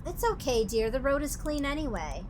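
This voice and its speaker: A woman acts out a fond mother chatting with her grown daughter in a visual novel. The voice is pitched a little high and is motherly and affectionate. The recording has clear background noise. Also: very animated, normal speed. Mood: fond, encouraging. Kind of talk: visual novel character dialogue.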